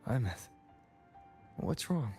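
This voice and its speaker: Casting: calm young male game hero, gravelly, unhurried, devoted, with music underneath. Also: mid-range voice. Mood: tender. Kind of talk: video game voice acting.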